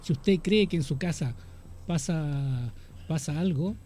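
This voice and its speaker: A man's voice: a little high, measured pace, warm tone, a little hiss or hum. A man hosting a radio talk show, speaking eagerly and plugging his services to listeners. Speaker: radio host.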